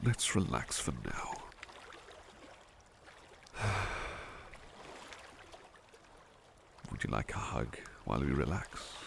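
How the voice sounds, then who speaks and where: A man voicing a gentle, devoted butler in a soft ASMR roleplay, hushed, breathy, polite and hesitant, tender and apologetic. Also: very deep voice, noisy background, exaggerated voice. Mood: drowsy, tender.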